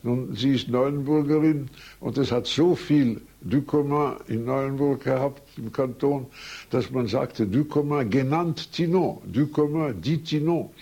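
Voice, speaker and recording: A man's voice: mid-range voice, unhurried, mellow, muffled recording. An older man from Switzerland whose first language is French, telling his life story in a recorded interview, rambling and anecdotal with frequent hesitations and self-corrections. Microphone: recorded on tape.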